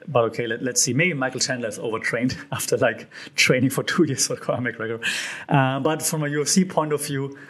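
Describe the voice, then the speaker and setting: Man, podcast host, casual chatty delivery, lots of fillers, mid pitch, slightly hoarse. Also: fairly bright tone. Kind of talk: solo podcast commentary.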